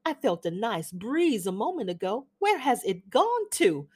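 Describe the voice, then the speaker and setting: A woman doing a fussy Southern matron, fluttering high. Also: sing-song, measured pace, a little mellow, breathy edge, full character voice. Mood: gushing. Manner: gushing, chattering, flutters up and down. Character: older Southern mother, fussy and genteel. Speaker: reader of a classic play. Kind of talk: dramatic reading of a play.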